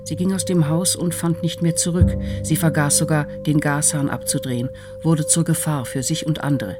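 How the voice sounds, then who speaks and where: An actress playing an earnest family friend, reading out a formal letter in a measured way in a radio drama. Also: female voice, low-pitched, fairly even intonation, loud music behind the voice, lightly acted. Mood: grave, formal.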